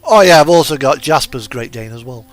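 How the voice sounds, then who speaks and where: A man's voice: medium pitch, animated, a little fast, no echo. A man giving casual, rambling gameplay commentary, hesitant and thinking aloud as he goes. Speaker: host of a truck simulator gaming video. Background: music from an in-game radio.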